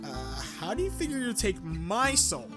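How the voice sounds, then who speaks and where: A woman's voice: medium pitch, big pitch swings, normal speed, music in the background, lightly acted. A woman voicing a cheeky, gutsy little undead sidekick in an audio drama, blunt and wisecracking. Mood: cheeky bluster. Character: cheeky, gutsy, talkative dead little companion. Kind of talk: audio drama character acting.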